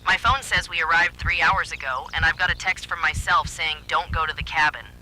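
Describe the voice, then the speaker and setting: An anxious young man, voiced by a male narrator, sounds shaken and disbelieving in a horror story. His delivery is forceful and bright, with faint noise underneath. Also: a little high, a little fast, low-quality recording. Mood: alarmed. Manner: tense, disbelieving.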